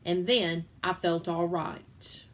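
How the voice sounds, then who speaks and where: A woman reading a children's picture book aloud with playful, expressive storytelling and big swings in pitch. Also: slow. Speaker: reader.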